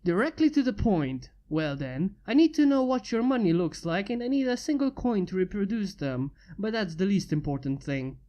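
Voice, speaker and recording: A fanfiction reader voicing a blunt, gruff inventor who has become a father. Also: mid-range voice, big pitch swings, moderate pace, few pauses, dark tone, lightly acted.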